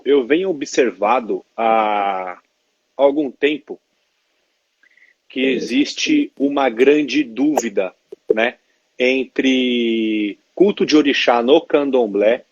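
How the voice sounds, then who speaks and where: Man, stream host, hesitant questions, slow, dry muffled sound. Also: mid-range voice, slightly rough, dry recording. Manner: hesitant, halting questions with fillers. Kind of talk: interview questions. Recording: dry, dull lo-fi stream audio.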